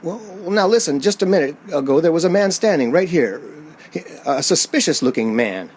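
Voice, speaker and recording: A man's voice: on the high side, animated, fairly quick, slightly rough, pitched above the performer's own voice. A man playing an anxious, haunted driver who narrates his dread tensely and hesitantly in a radio play. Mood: flustered pleading. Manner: tense, hesitant, dramatic repetition.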